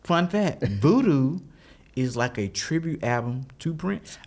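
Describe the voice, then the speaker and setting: Brief: male host, expressive, swooping intonation, laughs a lot, easygoing Q&A. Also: medium pitch. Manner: laughs often, quick questions, playful asides. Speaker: podcast host. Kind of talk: casual interview.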